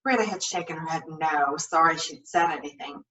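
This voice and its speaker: A woman reading a novel aloud in expressive scripted narration. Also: deep voice, normal speed, distant mic, rough recording. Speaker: story reader.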